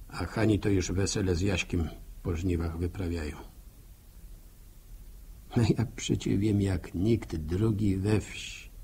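Radio serial narrator, 1960: wry rustic storyteller, gravel-edged husky tone, very leisurely, gently rueful. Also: male voice, fairly low pitch, full character voice. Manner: folksy, rustic, musing asides. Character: wry, folksy village storyteller, gently lamenting. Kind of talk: 1960s radio drama narration. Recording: old 1960 radio broadcast recording.

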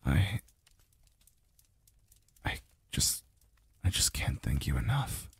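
A man playing a calm, devoted male lover in an intimate ASMR roleplay, murmuring softly and slowly, close to the mic. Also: no room sound, full character voice. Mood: loving, grateful. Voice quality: very deep, breathy, gravelly. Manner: slow, soft murmuring with sighs. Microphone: close mic, dry sound.

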